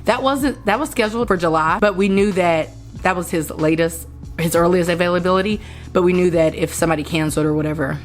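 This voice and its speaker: A young woman with a hoarse, lowish voice, talking to camera at home as she puts on makeup. She rambles in a chatty way, stopping to restart her sentences. Soft music plays faintly underneath. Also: quick pace. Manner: rambling, self-correcting, chatty. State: hoarse after a concert. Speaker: vlogger. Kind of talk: casual chat while doing makeup. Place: indoors at home.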